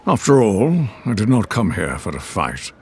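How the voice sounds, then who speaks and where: An actor playing an imperious, vengeful older supremacist villain in a video game, with a grandiose, condescending and menacing manner. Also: male voice, fairly low pitch, wildly swooping pitch, moderate pace, breathy edge, exaggerated voice. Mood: coldly menacing. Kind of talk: dramatic video game cutscene acting.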